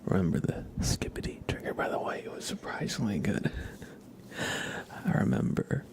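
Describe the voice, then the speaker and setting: A man hosting a live ASMR stream, chatting casually to viewers in a breathy whisper with soft, unhurried asides. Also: deep voice, wildly swooping pitch, noisy background. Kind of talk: ASMR, casual livestream chat.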